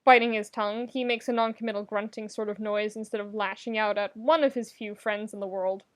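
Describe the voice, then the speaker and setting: A woman reading comedic fiction aloud as a narrator, with an expressive, wry storytelling delivery. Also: mid-range voice, brisk. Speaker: podfic narrator.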